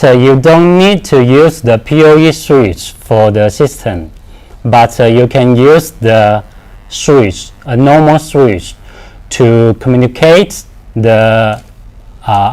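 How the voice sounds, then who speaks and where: A man in his thirties giving a product training presentation, speaking haltingly with repeated words and simple, non-native English phrasing. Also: mid-range voice, darkish tone.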